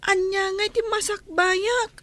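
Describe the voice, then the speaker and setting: A woman's voice: very high voice, steady tone, measured pace, a bit bright. An actress voicing a forlorn young schoolgirl, a plaintive little sister in a radio drama. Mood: tearful, worried.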